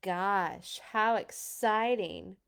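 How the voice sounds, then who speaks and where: A woman's voice: medium pitch, drawn-out words, vocal fry, fairly bright tone, clear, resonant tone, full character voice. A woman voicing a bored, skeptical young boy in a storybook read-aloud.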